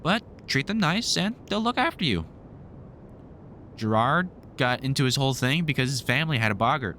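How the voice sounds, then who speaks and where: Man playing a lively, wry vampire hunter. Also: medium pitch, moderate pace, slight background noise. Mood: explaining fondly. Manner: wry, sweary, exasperated but fond banter. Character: gruff, sardonic British vampire hunter, protective, teasing mentor. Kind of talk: roleplay audio drama addressed to the listener.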